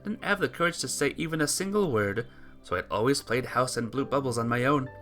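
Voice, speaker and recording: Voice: medium pitch, animated, a little fast, a bit bright. A person reading a sentimental story aloud in an earnest narrating style, stumbling now and then. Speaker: visual novel player reading the text aloud. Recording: music behind the voice.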